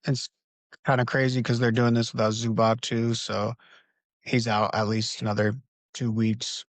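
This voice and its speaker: A man hosting a casual basketball podcast, talking in a relaxed, conversational way and leading the discussion. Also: mid-range voice, normal speed, dry recording. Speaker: podcast host. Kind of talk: casual sports podcast talk. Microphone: home recording setup.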